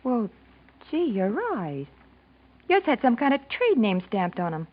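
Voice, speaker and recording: Animated husky female voice, suspicious young office worker, American, slangy, insistent, dull vintage radio. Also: fairly high pitch, measured pace. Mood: puzzled. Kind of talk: 1940s American radio drama acting.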